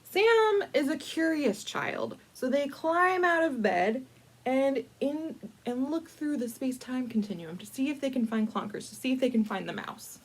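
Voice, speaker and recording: A woman's voice: high-pitched, animated, rough recording. Playful woman telling a picture-book story. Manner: playful, dramatic emphasis with drawn-out words; chatty, informal asides. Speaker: librarian storytime host.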